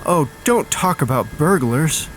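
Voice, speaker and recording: An anxious young girl pleads in a horror story, acted out by a male narrator who lifts his pitch. The delivery is dramatic and full of fear, with clear music underneath. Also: animated, a little slow, a bit bright, low-quality recording. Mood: fearful. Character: frightened young girl pleading in a haunted house.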